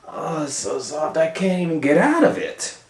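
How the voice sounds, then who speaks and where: A man's voice: fairly high pitch, very animated, normal speed, roomy sound, pitched above the performer's own voice. A man voicing a fussy, self-indulgent little girl who muses aloud and exclaims in a children's storybook reading. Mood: delighted, fussy.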